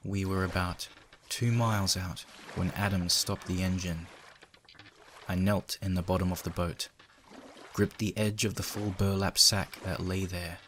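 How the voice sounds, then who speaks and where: A man narrating a dark first-person story in a measured, somber, dramatic reading style. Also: low-pitched, fairly even intonation, a little hiss or hum. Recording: loud engine and ambient sound effects. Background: background sound effects of ambience and machinery.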